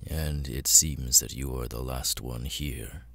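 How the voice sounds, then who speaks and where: A man voicing a cold, arrogant immortal demon lord in an ASMR roleplay, speaking with deliberate, condescending menace. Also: steady tone, fairly bright tone, no room sound, full character voice. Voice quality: very deep, gravelly, husky. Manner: slow, deliberate, condescending, with long pauses.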